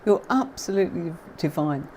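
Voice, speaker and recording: A woman's voice: lowish voice, animated, moderate pace, a little mellow, slight background noise. A woman from Yorkshire hosting a live-stream tarot lesson, chatty and gushing, reading out viewers' comments. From Yorkshire, England. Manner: effusive and chatty, many gushing compliments.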